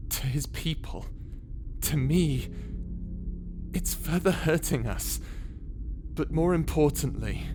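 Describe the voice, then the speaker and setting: A man playing an insecure, guilt-ridden pirate captain in an audio roleplay, earnest and lonely, with a hesitant, stammering delivery. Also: mid-range voice. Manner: hesitant, stammering, self-doubting. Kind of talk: scripted ASMR audio roleplay. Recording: music laid under the voice. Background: music.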